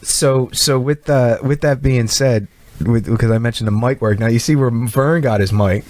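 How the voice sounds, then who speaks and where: A man's voice: mid-range voice, normal speed, background noise. Rambling, swearing podcast co-host man. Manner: rambling, profane, repeats words. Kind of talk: casual podcast banter. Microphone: close studio mic.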